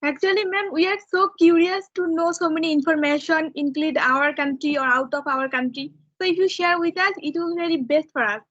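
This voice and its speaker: A woman's voice: sits high, steady tone, fairly quick, few pauses, fairly bright tone, lo-fi sound. A woman whose first language is Bengali, co-hosting an English practice chat, polite and eager with formal phrasing. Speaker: co-host, English learner.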